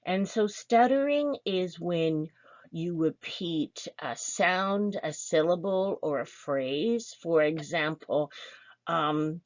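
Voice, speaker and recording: A woman walks listeners through a program in an information session, pausing on fillers and restarting her sentences. Her voice sits fairly low. The recording is dry and close, with a dull, lo-fi sound. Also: lively intonation, dry recording. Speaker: program instructor. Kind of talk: online information session presentation.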